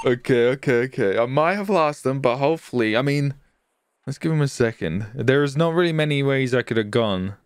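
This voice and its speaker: A man chattering excitedly with friends during gameplay, giggly and repeating himself. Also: medium pitch, moderate pace, clear, resonant tone, no room sound, studio quality. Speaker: gamer, host of roleplay prank video. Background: music under the voice.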